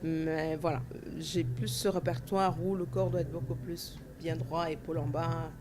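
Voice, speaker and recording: A woman's voice: low-pitched, flat delivery, unhurried. A woman in her forties from Cameroon, answering interview questions at length with many fillers and restarts. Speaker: dancer and festival organizer. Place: outdoor festival grounds. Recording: festival ambience noise behind.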